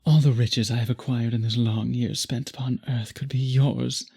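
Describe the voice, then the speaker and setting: A man voicing a courtly, possessive vampire in an intimate roleplay audio, with formal, florid, beseeching speech and a breathy voice. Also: mid-range voice, steady tone, normal speed, darkish tone. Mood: pleading, devoted. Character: courtly, obsessive, possessive male vampire pleading with the listener.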